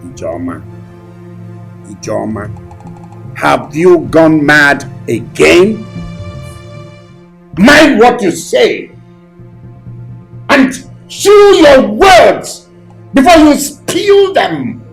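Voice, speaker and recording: A haughty Nigerian matriarch speaks very slowly, with a gravelly edge and lively swings of pitch. She is scornful and commanding, in a melodramatic film scene with room echo. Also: full character voice. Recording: echoey room, music underneath.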